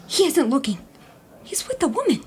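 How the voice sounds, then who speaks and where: A woman's voice: high voice, very animated, normal speed. A woman playing a touchy, jealous newlywed wife in a 1910s New York comedy audio play, talking in a dramatic, slangy way. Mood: scandalized. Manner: dramatic, slangy, easily indignant.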